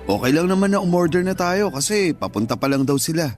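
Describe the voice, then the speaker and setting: A man's voice: on the high side, expressive, fast talker, over music, natural voice. An actor playing a teasing, pushy married best friend in his thirties, with a Filipino accent, coaxing and joking in a radio drama. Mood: casual.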